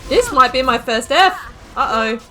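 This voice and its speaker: A woman's voice: sits high, lively intonation, measured pace, bright, forceful tone, soft background music. A young woman giving casual let's-play commentary, chatting and thinking aloud about her choices in the story. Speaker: gaming video creator.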